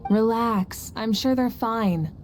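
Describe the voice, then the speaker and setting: A woman voicing a calm, confident heroic leader in a video game, composed and reassuring. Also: fairly high pitch, slow, music in the background.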